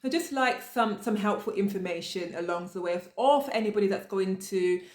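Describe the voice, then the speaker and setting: A woman's voice: mid-range voice, fairly quick, a little mellow. Chatty woman from the UK, echoey room. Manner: chatty, many fillers and restarts. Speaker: vlogger. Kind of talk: casual talking-to-camera advice.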